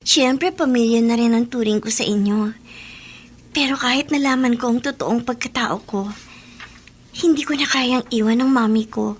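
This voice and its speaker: A woman's voice: on the high side, moderate pace, lightly acted. An actress in a Filipino radio drama playing an affectionate, repentant schoolgirl in an earnest, conversational way. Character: repentant schoolgirl younger sister, once snooty, now affectionate.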